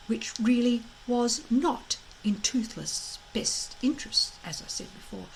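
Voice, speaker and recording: A woman reading a children's story aloud as expressive narration, with dramatic emphasis and deliberate phrasing. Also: high-pitched, a little hiss or hum, poor audio.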